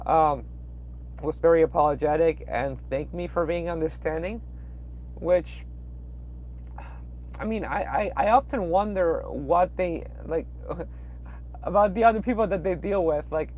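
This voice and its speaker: A man's voice: on the high side, a little mellow, a little hiss or hum, poor audio. Peruvian-accented man chatting from his truck. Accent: Peruvian Spanish. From Peru. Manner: rambling, hesitant, with frequent fillers and restarts. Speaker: truck driver livestreaming. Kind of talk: casual livestream chat reading viewer comments. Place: inside a parked truck cab at night.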